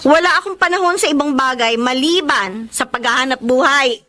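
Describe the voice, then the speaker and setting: A woman's voice: high-pitched, normal speed, lightly acted. An actress playing a feisty, hardworking Filipino daughter in her twenties, fed up with her family, in a Tagalog radio drama. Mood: feisty, dismissive. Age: 20s.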